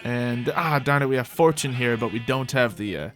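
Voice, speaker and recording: A man's voice: mid-range voice, moderate pace, fairly bright tone, faint music behind. A man giving casual, rambling live gameplay commentary as a streamer, playfully talking to himself and to the animals in the game.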